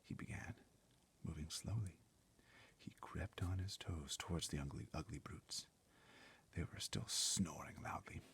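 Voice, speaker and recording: A man's voice: very deep voice, measured pace, vocal fry, pauses often, a bit bright, slightly rough. A man reading a children's story aloud as dramatic narration, theatrical and suspenseful, often dropping to a whisper. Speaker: storybook reader.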